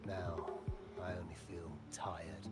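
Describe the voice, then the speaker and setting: A man's voice: low-pitched, level tone, takes it slowly, loud music behind the voice. An actor playing a weary, embittered old man in a video game, speaking in a solemn, rueful way. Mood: weary, sorrowful. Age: older. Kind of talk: scripted video game dialogue.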